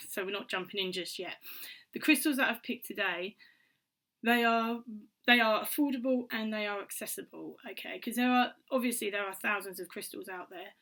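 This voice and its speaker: A woman vlogger, slightly hoarse from a cold, chatting casually to camera with many ums and restarts. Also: medium pitch, sharp tone. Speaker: vlogger and author.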